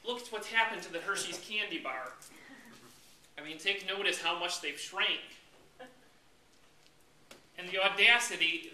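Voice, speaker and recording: A man's voice: fairly high pitch, pushed and bright. An American man giving a humorous tall-tale speech in a theatrical, mock-indignant storytelling manner, acting out his lines. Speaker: club speaker. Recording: roomy, distant mic with background noise.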